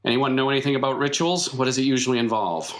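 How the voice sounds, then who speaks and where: A man playing a weary, mysterious circus veteran in tabletop roleplay, explaining things patiently and haltingly. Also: mid-range voice, steady tone, natural voice. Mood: explanatory. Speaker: game master. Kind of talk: improvised in-character tabletop roleplay.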